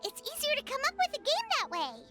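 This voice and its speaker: A tiny bubbly game sidekick, a childlike fairy. Her voice is squeaky and extremely high, cutesy and very swoopy, with prominent music underneath. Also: huge pitch swings, a little fast, exaggerated voice. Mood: excited, expressive. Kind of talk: English video game voice acting.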